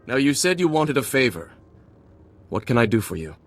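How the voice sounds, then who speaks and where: A man's voice: medium pitch, big pitch swings, normal speed, fairly bright tone, faint music behind. An actor voicing a stern, righteous middle-aged male warrior monk in a video game, speaking formally and earnestly. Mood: polite, guarded. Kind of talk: dramatic video game cutscene acting.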